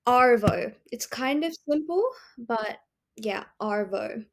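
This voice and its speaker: A young woman born in India who grew up in Australia, co-hosting a podcast in a relaxed, easy-laughing chat. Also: fairly high pitch, slow. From India, raised in Australia. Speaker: podcast co-host. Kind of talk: casual chat.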